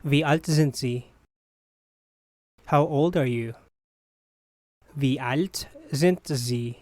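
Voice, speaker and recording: A teacher's voice slowly repeating short phrases in a language drill, each one said clearly and evenly. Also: medium pitch, darkish tone, no room sound, studio quality. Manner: deliberate, evenly paced repetition of short phrases.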